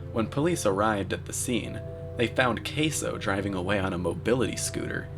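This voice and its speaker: Deadpan low-voiced man narrating over music. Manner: deadpan, mock-serious. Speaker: narrator, video commentator. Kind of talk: scripted commentary narration.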